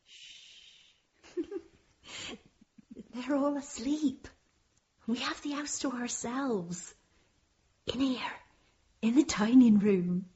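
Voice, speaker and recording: A secretive, commanding sister in a radio drama. She talks slowly and in a hushed, controlling manner. The sound is slightly dull. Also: a little high. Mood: furtive, playful.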